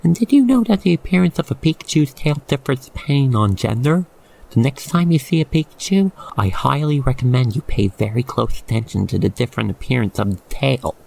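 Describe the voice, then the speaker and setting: A man guiding the listener through an ASMR roleplay in expressive, theatrical, wordy storytelling narration. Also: mid-range voice, fairly quick, vocal fry, rough recording.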